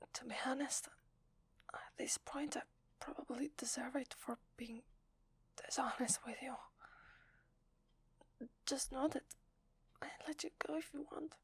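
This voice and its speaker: A woman's voice: high voice, dry recording, full character voice. A woman with an Italian accent voicing a sweet, apologetic werewolf wife in a hesitant, tender, whispered ASMR roleplay. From Italy. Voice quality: breathy, whispery. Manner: hesitant, tender, slow with long pauses.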